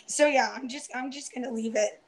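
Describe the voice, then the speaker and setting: A woman in her twenties, a guest on a video call, talking softly and hesitantly with many ums, her voice tiring and going hoarse. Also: high-pitched, fairly quick, a little hiss or hum. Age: 20s. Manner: soft-spoken, hesitant, many ums and restarts. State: losing her voice. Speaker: guest on a live round-table stream. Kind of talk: casual personal sharing. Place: indoors, home room. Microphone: earphone headset on a video call.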